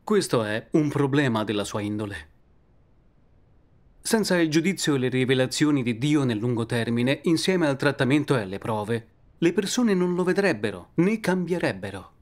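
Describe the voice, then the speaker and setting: A middle-aged man gives a sermon seated in a study lined with bookshelves. He explains his points in a calm, earnest way. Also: medium pitch, normal speed. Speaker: preacher.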